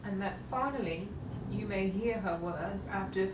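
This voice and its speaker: Actress playing a slow, solemn sister. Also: female voice, mid-range voice, lightly acted. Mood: grave, sorrowful. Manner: measured, grave, pausing theatrical delivery. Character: solemn, burdened sister bearing tragic news. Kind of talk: 1950s-style romantic drama acting. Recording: roomy, distant, clearly noisy stage sound.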